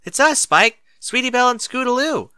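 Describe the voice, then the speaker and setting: A man doing an excitable high-pitched little filly. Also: moderate pace, smooth, no echo, studio quality, heavily acted. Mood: urgent. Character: impatient, excitable little filly, a young girl.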